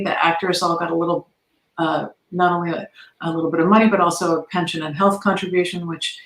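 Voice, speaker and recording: A woman giving enthusiastic, conversational answers as a guest in an interview. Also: lowish voice. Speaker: guest, theatre arts advocate. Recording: roomy, echoey sound.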